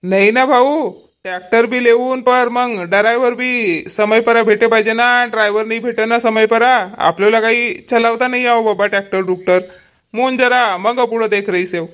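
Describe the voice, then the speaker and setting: Man acting a very high-voiced, folksy village farmer. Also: fairly even intonation, normal speed, a bit bright. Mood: modest, worrying. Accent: Powari. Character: modest, worrying village farmer and father. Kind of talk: cartoon comedy dialogue.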